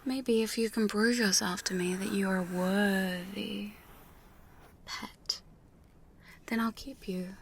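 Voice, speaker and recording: A woman's voice: mid-range voice, fairly even intonation, slight background noise. A woman playing a teasing, domineering female vampire hunter in a mostly whispered, seductive ASMR roleplay. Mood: teasing, menacing. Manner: slow, breathy, seductive, commanding. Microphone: close studio condenser microphone.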